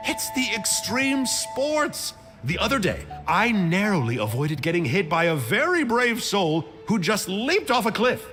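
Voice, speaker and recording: A man's voice: high voice, big pitch swings, unhurried, sharp tone, exaggerated voice. A man voicing a chirpy, chatty villainous mage in a video game, mock-polite, theatrical and rambling. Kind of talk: video game character acting. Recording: game music underneath.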